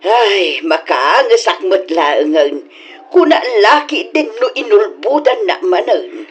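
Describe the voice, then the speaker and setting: A woman's voice: medium pitch, big pitch swings, slow pace. A woman playing a folksy middle-aged village mother in a radio comedy drama, with an Ilocano accent. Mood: concerned, neighborly.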